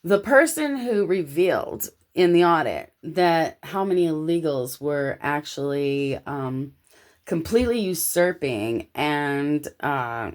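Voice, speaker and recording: An American woman from Los Angeles talking casually and telling personal anecdotes in an animated, slangy way. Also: sits low. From Los Angeles, United States.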